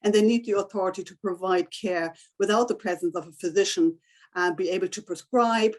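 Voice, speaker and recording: A woman's voice: medium pitch, a little fast. A woman whose first language is German, giving a conference presentation over a video call, explaining steadily with many fillers and restarts. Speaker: invited speaker.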